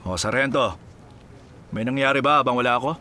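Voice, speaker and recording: An actor with a Filipino accent playing a smooth, evasive policeman husband in a casual, teasing radio drama style. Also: medium pitch, fairly quick, pushed and bright, natural voice. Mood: calm wry. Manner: casual, teasing, feigns innocence.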